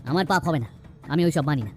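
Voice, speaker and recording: Man acting as haughty villager, extremely rapid speech, scornful, curt orders, cartoon fable, faint music. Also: fairly high pitch, natural voice. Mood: hostile. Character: hostile, haughty villager guarding his prosperous village.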